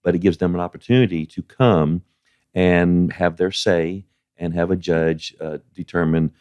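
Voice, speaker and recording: An older man giving conversational interview answers in a halting, plain-spoken way, repeating words as he goes. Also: low-pitched, steady tone, a little mellow, clear, resonant tone, no room sound, highs cut off. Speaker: guest, justice of the peace.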